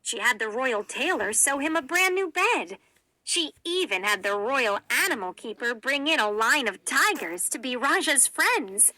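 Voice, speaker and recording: A woman narrating a children's read-along story in a deliberate, expressive storytelling manner. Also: sits high, dry recording, rough recording.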